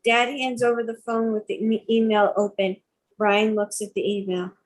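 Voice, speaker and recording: A woman's voice: mid-range voice, flat delivery, moderate pace. A woman giving scripted narration, reading out stage directions for a table read.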